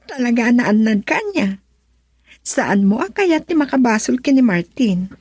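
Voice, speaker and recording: A woman's voice: fairly high pitch, very animated, takes it slowly, a little mellow, breathy edge, no room sound, studio quality, heavily acted. An actress with a Filipino accent playing a flirtatious, coaxing lady employer in a radio drama. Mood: coy, teasing. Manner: coaxing, teasing. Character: coy, flirtatious married lady employer of a driver.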